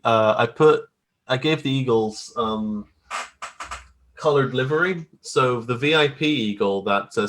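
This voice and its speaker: A man with a fairly low voice talks as a guest in a casual interview. He is a graphic artist with an informal, self-deprecating manner. His delivery is wry and conversational. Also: fairly bright tone.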